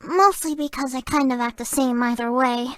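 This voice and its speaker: Very high, girlish, shy fox-spirit girl, acted by a woman. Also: normal speed, close to an everyday voice. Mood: cheerful, eager. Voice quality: girlish, cutesy. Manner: bashful, earnest, playful. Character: shy, sweet, excitable young female kitsune fox spirit. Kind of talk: video game character voice lines.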